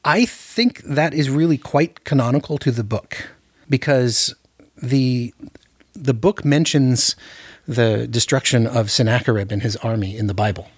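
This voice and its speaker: A man's voice: mid-range voice, measured pace, slightly rough, no room sound, studio quality. A man hosting a casual film discussion, often repeating words as he works out his thoughts. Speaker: podcast host.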